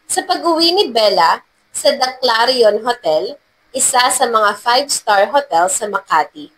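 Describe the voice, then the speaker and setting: A woman's voice: a little high, fairly bright tone, slight background noise, rough recording. A woman reading scripted narration for a romance story as its narrator.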